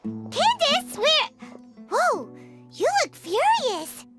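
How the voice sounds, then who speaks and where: A woman voicing a small, bubbly, curious childlike fairy companion with a squeaky voice in a video game. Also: wildly swooping pitch, drawn-out words, full character voice. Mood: surprised. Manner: refers to self in third person, exclaiming. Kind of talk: animated game character acting. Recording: music playing behind the voice.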